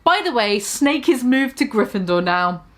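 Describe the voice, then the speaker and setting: A woman's voice: a little high, sing-song, normal speed. A young woman giving a mock-dramatic reading of a fan story, swearing and breaking off to comment on the text. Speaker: reader.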